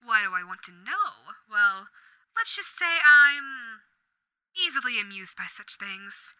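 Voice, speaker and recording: A woman's voice: on the high side, lively intonation, takes it slowly, pushed and bright, clean tone, no room sound, heavily acted, rough recording. A woman playing a suave sadistic radio demon. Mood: amused. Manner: theatrical, old-fashioned polite, toying. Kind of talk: audio roleplay.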